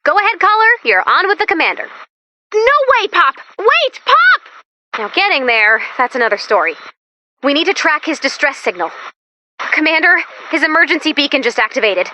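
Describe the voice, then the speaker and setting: A woman's voice: sits high, very animated, normal speed, sharp tone, a little hiss or hum, exaggerated voice. A woman voicing an upbeat, quirky female robot assistant who guides the commander in a video game, with a chatty, theatrical, comic manner. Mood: upbeat, eager.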